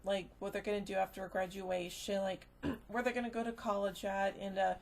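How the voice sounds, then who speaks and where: A woman giving a casual, unscripted review, rambling and halting as she goes, often trailing off into filler phrases. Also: mid-range voice, little pitch movement, vocal fry. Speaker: movie reviewer.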